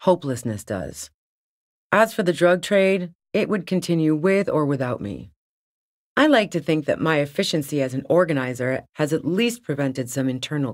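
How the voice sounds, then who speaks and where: A woman's voice: deep voice, dry recording. A woman playing a tough, sardonic female lorry-driving smuggler in a video game, with a dry, sarcastic and casually profane way of talking. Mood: defensive, cold. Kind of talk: video game character dialogue.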